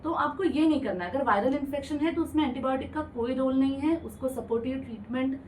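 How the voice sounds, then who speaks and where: A woman's voice: high-pitched, fast talker, distant mic, slight background noise. A woman ENT doctor explaining throat infections in a radio interview, patiently, mixing English medical terms into her speech. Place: radio studio.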